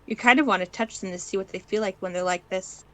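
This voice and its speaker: A woman with a medium-pitched voice reads fan fiction as narrator. Her delivery is informal and slips into casual chat. She is heard over an online voice call. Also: fast talker, sharp tone. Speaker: narrator, stream reader.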